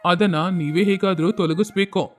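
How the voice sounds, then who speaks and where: A man playing a wise, principled village healer with a measured, admonishing manner in a storybook tale. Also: high-pitched, fairly even intonation, faint music behind, natural voice. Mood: firm.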